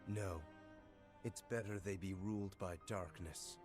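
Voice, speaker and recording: A man's voice: low voice, steady tone, a little slow. A man playing a brooding, cold, arrogant young man drawn to darkness, solemn and disdainful, in a video game cutscene. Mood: contemptuous. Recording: music under the voice.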